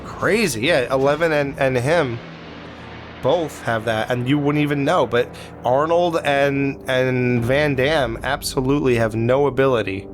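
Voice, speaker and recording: A man's voice: mid-range voice, a little slow, a little hiss or hum. A man co-hosting a podcast, reviewing an action movie in loose, crude banter and retelling scenes with a joking edge. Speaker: podcast co-host. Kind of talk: casual movie review chat.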